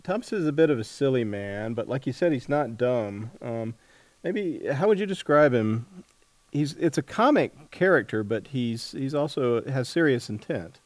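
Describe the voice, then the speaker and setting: A man's voice: mid-range voice, lively intonation, normal speed, dry recording. A man hosting a casual interview, feeling his way through questions and rephrasing them as he goes. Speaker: interviewer, host.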